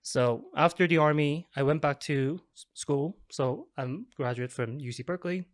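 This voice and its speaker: A man's voice: on the high side, moderate pace, no room sound. Laid back young guy from south korea chatting about his career, dry studio sound. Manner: relaxed, chatty, frequent fillers and laughs. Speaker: guest, developer relations advocate. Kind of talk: casual interview chat.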